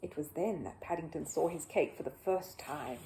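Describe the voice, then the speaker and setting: A woman reading a children's book aloud as an expressive storyteller. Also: low-pitched, moderate pace, low-quality recording. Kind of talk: expressive storybook narration with a casual greeting.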